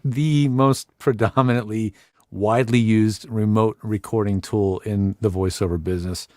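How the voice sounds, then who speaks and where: A man co-hosting a talk show, reading a sponsor spot and chatting in a relaxed, easygoing way with frequent laughs. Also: fairly low pitch, dry recording, studio quality. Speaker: co-host. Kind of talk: casual show chat and sponsor read.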